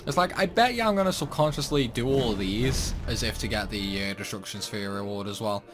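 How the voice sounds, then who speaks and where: A man's voice: on the low side, animated, brisk, background noise. A young man casually commenting on his own game playthrough, chatty and self-deprecating. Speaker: gameplay channel host.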